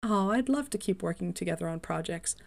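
A woman reading the answers of a calm older male company founder in an imagined interview, in a modest, measured way. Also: fairly low pitch, creaky.

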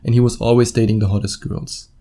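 Male solo podcaster, German accent, soft mellow timbre, casual and blunt coaching monologue. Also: mid-range voice, level tone, fast. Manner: informal, blunt, swears casually, acts out imagined quotes. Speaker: podcast host and dating coach.

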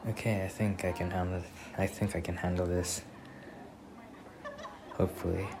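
A young man playing a shy, sweet student boyfriend on a first date in a soft-spoken ASMR roleplay, speaking in a hesitant, bashful way. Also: low-pitched, level tone, normal speed, noisy background. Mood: casual, slightly nervous.

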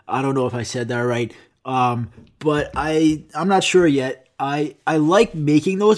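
A young man who grew up speaking Greek, rambling casually and swearing while answering viewer questions. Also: fairly high pitch. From United States. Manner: rambling, profane, self-mocking. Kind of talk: casual solo Q and A.

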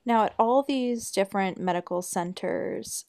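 A woman's voice: medium pitch, measured pace, darkish tone, dry recording, studio quality. A woman hosting a podcast interview, asking thoughtful, searching questions and rephrasing as she goes. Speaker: podcast host.

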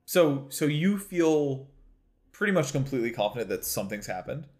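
A man's voice: medium pitch, very animated, normal speed, darkish tone. A man co-hosts a casual podcast, chatting about a show with a voice that swoops up and down. He laughs often and trips over his restarts. The talk stays loose and conversational. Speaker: podcast co-host. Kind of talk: casual chat recapping a reality show.

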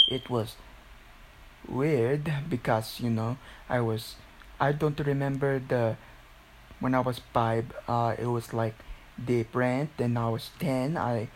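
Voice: mid-range voice, fairly even intonation, slow, a little hiss or hum, low-quality recording. A teenager with a Filipino accent giving a casual, rambling solo monologue, repetitive and given to exclamations. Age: teens, about 16. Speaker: video creator.

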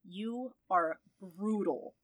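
A woman voicing a calm, protective blind man who speaks in a measured, softly ironic way. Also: mid-range voice, no room sound. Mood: dry.

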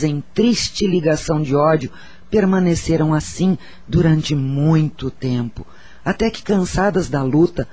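An actor playing a solemn, wise spiritual counsellor in a radio soap, preaching and storytelling in a measured way with a Brazilian accent. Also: male voice, a little high, warm tone, slightly rough.

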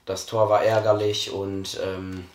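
Teen boy co-host, hoarse, low-pitched, rambling and joking, recorded in an echoey room. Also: little pitch movement, measured pace, a little hiss or hum. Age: teens. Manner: joking, rambling with many restarts. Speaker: podcast co-host. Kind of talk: casual chat. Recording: roomy echo.

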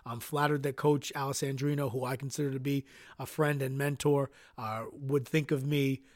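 A man's voice: medium pitch, flat delivery, moderate pace, no echo, studio quality. A man hosting a solo podcast, earnest and dotted with uhs, sounding nasal from a cold. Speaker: podcast host.

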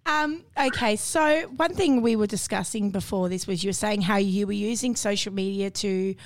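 A woman co-hosting a radio interview with a croaky voice from being sick, asking questions in a loose, searching way. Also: medium pitch, moderate pace, no echo. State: croaky from illness. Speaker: radio co-host.

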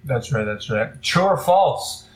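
A man's voice: medium pitch, expressive, slow. A young man co-hosting a basketball podcast, joking and poking fun at himself in casual sports chat. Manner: joking, self-mocking. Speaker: podcast co-host. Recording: echoey cheap mic.

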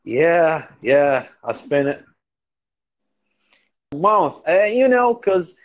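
A man in his twenties from Iraq, a native Arabic speaker with an Arabic accent, chatting haltingly in English practice and searching for words. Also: on the high side, big pitch swings, dull audio. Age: 20s. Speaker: language learner. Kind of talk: casual conversation practice.

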